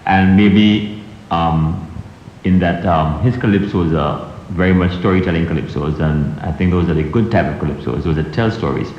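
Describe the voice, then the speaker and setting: A man with a Caribbean accent from Grenada, talking thoughtfully and in a measured way as a storyteller giving a reflective tribute. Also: low-pitched. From Trinidad-born, grew up in Grenada. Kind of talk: reflective interview talk. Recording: echoey, dull, noisy old radio tape.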